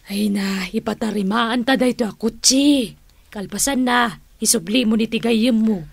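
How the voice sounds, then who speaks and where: A woman playing a no-nonsense, creaky-voiced aunt in an Ilocano radio drama. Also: fairly high pitch, normal speed, no room sound. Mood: chiding.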